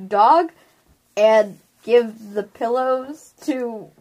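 A woman's voice: on the high side, drawn-out words, a little mellow, poor audio. A woman with a wry, joking manner, chatting casually as a tabletop game player. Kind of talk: casual chat during a game.

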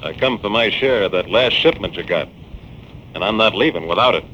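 A menacing tough gangster. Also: male voice, lowish voice, expressive, fairly quick, pushed and bright. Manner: hard, threatening, curt. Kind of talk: 1940s radio acting. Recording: dull old radio transcription with hiss.

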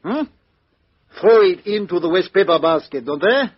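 An actor playing a fussy, precise middle-aged Belgian detective, in 1950s radio drama style. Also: male voice, high-pitched, expressive, moderate pace, breathy edge, dry recording. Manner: precise, theatrical, drops to a hush. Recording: dull old radio recording.

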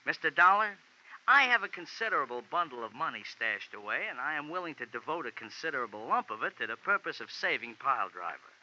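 Forceful, wordy little racetrack character. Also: male voice, medium pitch, big pitch swings, quick pace. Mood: earnest, emphatic. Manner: stilted formal diction without contractions, slangy flourishes. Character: eccentric horse-loving racetrack character, little man, wordy and formal. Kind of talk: 1940s radio acting. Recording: dull, noisy 1940s radio recording.

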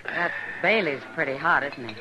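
Lively, friendly frontier woman. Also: low voice, moderate pace. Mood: friendly, concerned. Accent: American. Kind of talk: 1950s radio western acting. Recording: very noisy old radio recording.

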